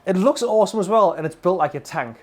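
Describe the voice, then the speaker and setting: High-pitched, effusive British man. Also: animated, quick pace, darkish tone. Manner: effusive, emphatic, colloquial. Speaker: guitar gear demonstrator. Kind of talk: enthusiastic product demo to camera. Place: indoor room full of guitars and amplifiers.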